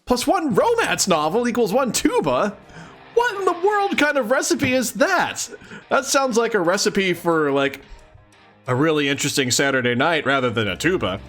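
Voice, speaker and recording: A man's voice: sits high, big pitch swings, normal speed, fairly bright tone, soft background music. A man doing a casual live read-along of a comic, reading expressively and adding mock-incredulous asides. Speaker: streamer reading a comic aloud.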